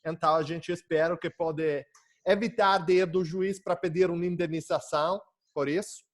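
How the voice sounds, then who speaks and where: A young man with an Italian accent giving an academic lecture over a video call, often pausing and searching for words. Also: on the high side, fairly even intonation. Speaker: guest lecturer.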